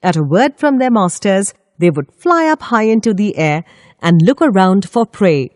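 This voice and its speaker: A woman storyteller reading a scripted children's story with expressive, deliberate phrasing. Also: mid-range voice, no room sound, clean studio sound.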